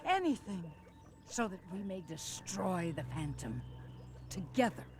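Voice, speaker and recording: A man's voice: high voice, big pitch swings, unhurried, noisy background, heavily acted. A man playing a scheming, vengeful corporate villain in a cartoon, persuading with commanding intensity. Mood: vengeful, persuasive. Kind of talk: animated villain acting.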